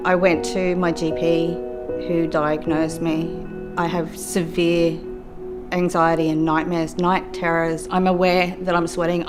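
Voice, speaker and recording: A middle-aged Australian woman telling her own story in an earnest, reflective, steady way. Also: fairly low pitch. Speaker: youth worker and law graduate. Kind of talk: personal testimony for a documentary. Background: soft music.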